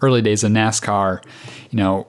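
A man's voice: fairly low pitch, fairly even intonation, normal speed. A young man co-hosting a podcast, telling stories in casual chat. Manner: chatty, tells stories. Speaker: podcast co-host.

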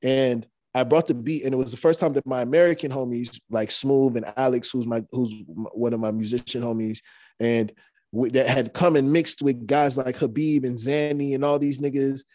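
A man's voice: mid-range voice, moderate pace, no room sound, clean studio sound. A young man, a rapper, telling stories casually with lots of fillers and restarts. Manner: relaxed, rambling, many fillers and restarts. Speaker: rapper guest. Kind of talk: casual storytelling interview.

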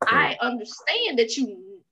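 A woman co-hosting a casual podcast who agrees quickly and jumps in with overlapping bursts of talk. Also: fairly high pitch, big pitch swings, bright, forceful tone. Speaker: podcast co-host.

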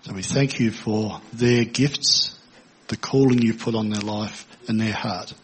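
A man leading a prayer in church before introducing guest speakers, speaking in an earnest, measured way. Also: lowish voice, fairly even intonation, slight background noise, rough recording. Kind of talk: spoken prayer.

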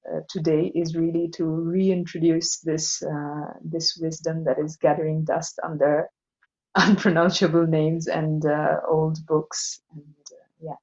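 Slow-spoken Indian woman, lowish voice. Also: little pitch movement, a little mellow, lo-fi sound. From Assam, northeast India. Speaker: interview guest, yoga teacher. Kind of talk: thoughtful podcast conversation.

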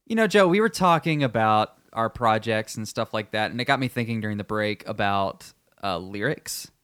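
A man from Texas, co-hosting a podcast, talking in relaxed, playful banter with filler words. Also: lowish voice, normal speed, clean tone, no echo, studio quality. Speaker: podcast co-host. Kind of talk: casual chat.

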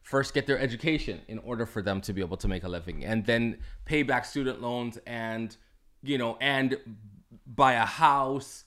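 A man in his forties from Canada talks casually as a co-host. His voice sits at a middle pitch, and his delivery is measured. He often stops on fillers while he hunts for the right words. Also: a bit bright. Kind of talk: casual conversation.

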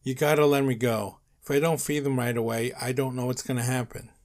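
A man's voice: mid-range voice, fairly even intonation, a little fast, a little mellow, close to an everyday voice. A man voicing a polite, long-suffering crocodile caretaker in a story, worried and pleading.

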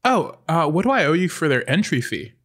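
A man plays an affectionate, chatty mom of teenagers in a comedy table read. The voice is fairly high and very animated, upbeat and coaxing. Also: brisk. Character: cheerful, chatty, affectionate mother of two teenagers.

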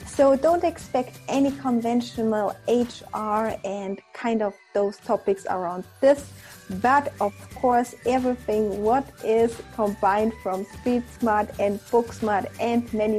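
A woman's voice: mid-range voice, a little slow, mellow, faint music behind. A woman hosting a podcast interview, talking in an effusive, enthusiastic motivational way. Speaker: podcast host.

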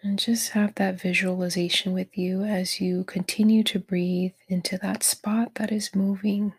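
A woman leading a guided meditation in a soft, breathy, near-whispered voice, gentle and encouraging toward the listener. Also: medium pitch, level tone, measured pace. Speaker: meditation guide, podcast host.

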